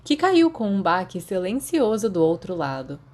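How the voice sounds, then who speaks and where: A woman's voice: medium pitch, very animated, normal speed, darkish tone. A woman with a Brazilian accent reading a scripted story as an audiobook narrator. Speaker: audiobook narrator of fan fiction.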